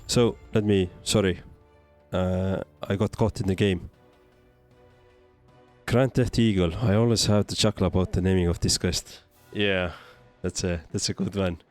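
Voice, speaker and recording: A man with an Estonian accent chats casually while streaming a game. His voice is fairly low and his pace is very slow and unhurried, over faint music. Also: darkish tone. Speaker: game livestreamer. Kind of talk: casual live gameplay commentary and chat.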